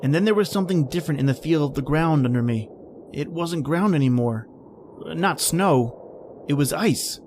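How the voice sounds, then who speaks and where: A man's voice: fairly high pitch, lively intonation, moderate pace, noisy background, close to an everyday voice. A man playing a haunted, panicky man stranded in polar cold, telling his ordeal in an old-time radio horror style. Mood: haunted, resigned. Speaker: podcast host and actor.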